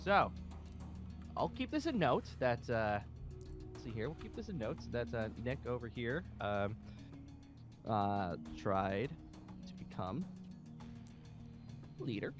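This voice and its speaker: A man playing a pompous, commanding colonel of a survivor base in an improvised Minecraft zombie roleplay, with halting, theatrical delivery. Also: medium pitch, very animated, over music. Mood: officious.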